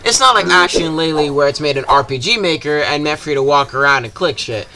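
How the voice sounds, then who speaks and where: A man's voice: on the high side, lively intonation, quick pace, pushed and bright, soft background music. A man giving a casual, jokey, scripted video game review as a YouTuber, with some swearing.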